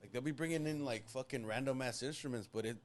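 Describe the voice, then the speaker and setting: A man's voice: medium pitch, fast talker, a little mellow. A man talking as a podcast guest and band member, loose and casual, swearing freely and calling people dude. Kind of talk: casual chat.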